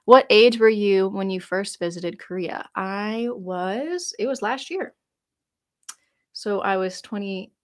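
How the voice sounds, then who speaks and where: A woman in her late twenties chats through viewer questions on a live stream. She rambles in a loose, friendly way, full of "like" and self-corrections, and laughs easily as she goes. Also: mid-range voice. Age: 20s. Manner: chatty, rambling, lots of "like" fillers and restarts; laughs easily while reading comments aloud. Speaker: YouTuber answering viewer questions. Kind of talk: casual live-stream Q&A chat.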